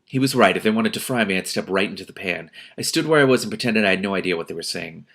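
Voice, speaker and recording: A man reading a novel aloud as host, with casual, exasperated asides in an expressive manner. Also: low voice, fast talker. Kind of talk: audiobook-like reading with casual reactions.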